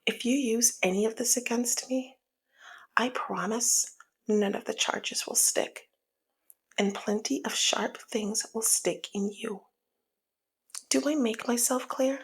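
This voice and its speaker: A woman acts out a flirty female mob boss for an ASMR audio drama. Her voice is fairly high and breathy, with a crackle of fry at the edges, often sinking toward a whisper. She is sultry and in command, teasing with a sly undercurrent of menace. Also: slow pace, exaggerated voice. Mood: quietly threatening.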